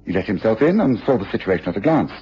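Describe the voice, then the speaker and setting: A keen detective in a radio drama, with a very expressive, slightly rough voice. He is deliberate, precise and in command. The recording is dull, like a radio broadcast. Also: on the low side, exaggerated voice. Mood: assured, deductive. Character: keen, composed male English detective, probing and authoritative.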